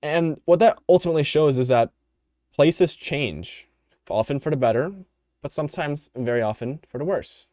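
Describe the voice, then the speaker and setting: A man's voice: a little high, moderate pace, mellow, no echo. Rambling opinionated young man, dry close mic. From United States. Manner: rambling, opinionated, many fillers and restarts. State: voice tiring. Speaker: podcast host. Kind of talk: unscripted solo commentary.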